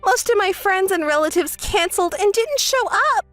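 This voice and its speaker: A woman doing a shrill, whiny spoiled sister. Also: lively intonation, normal speed, fairly bright tone, dry recording, soft background music. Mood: complaining. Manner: shrill, begging, self-pitying. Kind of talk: storytelling with acted dialogue.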